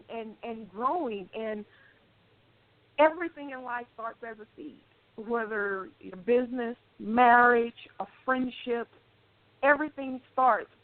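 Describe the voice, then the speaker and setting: A woman life coach speaking as a radio guest, earnest and hesitant, with many ums. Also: sits high. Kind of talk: casual interview chat. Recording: dry, tinny phone-line sound.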